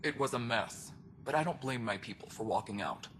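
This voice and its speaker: A man playing a gruff, world-weary alien station superintendent in a video game, speaking bluntly with dry sarcasm. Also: medium pitch, expressive, fairly quick, a bit bright, slight background noise, close to an everyday voice. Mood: blunt, wry. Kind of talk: video game cutscene dialogue.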